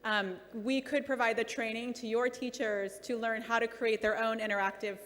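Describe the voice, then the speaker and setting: A woman's voice: fairly high pitch, little pitch movement, moderate pace, fairly bright tone. Woman speaker in an echoey conference hall. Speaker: keynote speaker. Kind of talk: answering audience questions. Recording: reverberant hall, faint noise.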